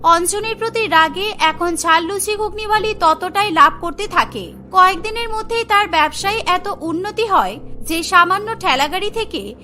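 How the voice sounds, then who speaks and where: A woman's voice: very high-pitched, expressive, normal speed, bright, forceful tone. A woman reading scripted narration for a children's cartoon story.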